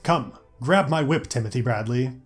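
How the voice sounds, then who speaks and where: A man's voice: medium pitch, moderate pace, lightly acted. Man as gallant old-world hero, foreign accent, grave courtly speech, desperate earnestness, storybook over music. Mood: urgent. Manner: solemn, stilted, archaic courtesy. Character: earnest tall heroic vampire hunter, formal and desperate.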